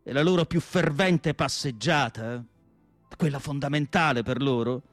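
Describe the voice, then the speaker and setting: A male actor playing a tormented asylum psychiatrist in a solemn, deliberate radio monologue with dramatic pauses. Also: medium pitch, no echo, faint music behind, close to an everyday voice. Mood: bitter, musing. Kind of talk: dramatic literary monologue, theatrical radio acting.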